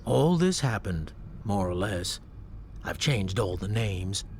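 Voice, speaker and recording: Wry war-veteran narrator, lively range. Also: male voice, lowish voice, slow, a little hiss or hum. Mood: grim, matter-of-fact. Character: reflective male narrator-author, war veteran, grimly matter-of-fact. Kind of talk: scripted narration in a radio drama.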